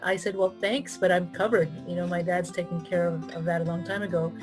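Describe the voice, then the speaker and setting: A woman's voice: lowish voice, steady tone, fairly quick, a little mellow, faint music behind. A middle-aged woman from the Philippines, raised in Canada, chatting as an interview guest with frequent restarts. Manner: chatty, with restarts and light laughs. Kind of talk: casual interview talk.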